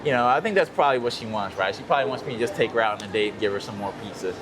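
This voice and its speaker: A man's voice: lowish voice, very fast, background noise. A man casually telling a story, rambling with lots of fillers, outdoors in a city. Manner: rambling, many fillers, relaxed. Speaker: guest.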